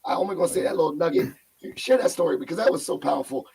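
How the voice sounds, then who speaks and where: A man's voice: fairly high pitch, very fast, vocal fry, darkish tone, breathy edge. A man hosting a show over a video call, upbeat and rambling, laughing often as he introduces his guest. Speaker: podcast host. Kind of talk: casual chat.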